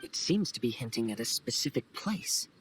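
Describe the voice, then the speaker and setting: A man playing a calm, gentle young wanderer in a video game, soft-spoken, thoughtful and polite. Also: medium pitch, moderate pace, a little hiss or hum, rough recording. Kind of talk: video game cutscene acting. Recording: clear music behind the voice.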